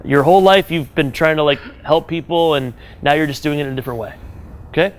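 A man giving direct business advice to a live audience, animated and conversational, checking in with "right?" as he goes. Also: a little high, fast. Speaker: business speaker advising an audience. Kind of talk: live talk to a room audience.

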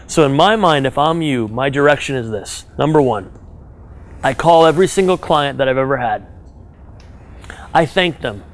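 A man giving direct business advice to a live audience, animated and conversational, checking in with "right?" as he goes. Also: medium pitch, normal speed, long pauses. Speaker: business speaker advising an audience. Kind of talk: live talk to a room audience.

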